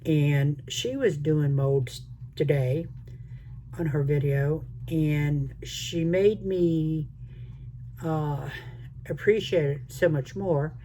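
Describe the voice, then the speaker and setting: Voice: mid-range voice, very slow, dark tone, slight background noise, rough recording. An older, retired speaker with a mixed Southern American accent, chatting casually to viewers while doing a resin craft. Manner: chatty, rambling, talks to viewers as y'all. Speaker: resin crafting channel host. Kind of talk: casual crafting vlog chat.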